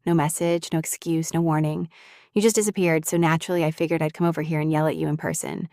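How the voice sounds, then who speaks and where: A woman voicing a sharp-tongued young woman, a bully who scolds and worries over someone who has fainted. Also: low-pitched, fairly even intonation, quick pace, no room sound, clean studio sound, natural voice. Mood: irritated. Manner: scolding and blunt, then anxious and tender. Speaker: voice actor in an audio roleplay. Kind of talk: scripted audio roleplay.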